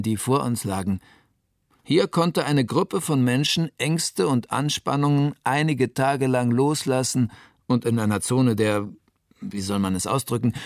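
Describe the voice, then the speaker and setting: Guy acting reflective husband telling his story on radio, measured, scripted, dry sound. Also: medium pitch, no echo, natural voice. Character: thoughtful husband recalling his flight from disaster. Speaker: narrator of a personal account. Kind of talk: scripted radio documentary narration.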